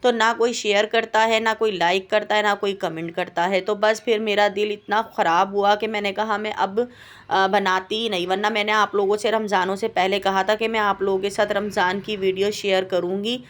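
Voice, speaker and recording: A woman's voice: medium pitch, little pitch movement, moderate pace. Woman, sore throat with coughs, plaintive, rambling voice-over, home baker. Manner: plaintive, complaining, rambling with hesitations. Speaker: home baker and cooking channel host. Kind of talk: voice-over vlog chat. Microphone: home voice-over mic.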